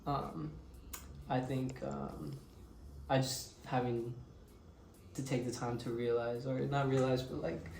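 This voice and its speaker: Laid-back young man, flat voice, roomy. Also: mid-range voice, takes it slowly, vocal fry, pauses often, a little hiss or hum. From Mexico. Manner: laid-back, rambling with many fillers. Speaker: interviewed musician. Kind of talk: casual interview answers.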